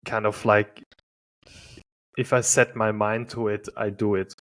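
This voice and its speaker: Lower-voiced Swiss man, hesitant. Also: level tone, a little slow, fairly bright tone. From Switzerland. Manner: hesitant, filler-heavy, says "like" often. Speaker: podcast guest. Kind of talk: casual conversation.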